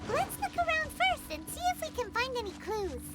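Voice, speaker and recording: A woman voicing a tiny, excitable, girlish companion creature in a video game, nosy and quick to exclaim. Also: extremely high pitch. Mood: chirpy. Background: game music.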